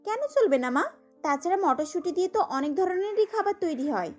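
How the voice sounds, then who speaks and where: A woman's voice: extremely high pitch, big pitch swings, brisk, muffled recording, soft background music. A woman voicing an earnest, eager young daughter-in-law in a cartoon, respectful in manner. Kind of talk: cartoon character acting.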